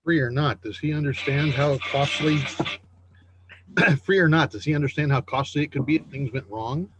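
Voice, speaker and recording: A man's voice: on the high side, moderate pace, lightly acted. A man playing a worried, stern parent in a screenplay table read, with background noise.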